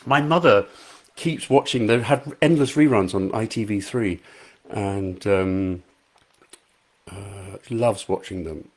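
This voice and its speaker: A man's voice: lowish voice, takes it slowly, breathy edge. A man with a soothing voice, an author chatting casually on a live stream from a small room at home, reading out viewer comments and poking fun at himself. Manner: chatty, self-deprecating, reads out viewer comments. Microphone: high quality microphone.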